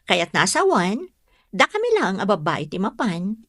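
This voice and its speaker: A woman's voice: mid-range voice, sing-song, a little slow, a bit bright, dry recording, clean studio sound. An actress playing a young office woman who is weary of her devoted boyfriend, in an Ilocano radio drama.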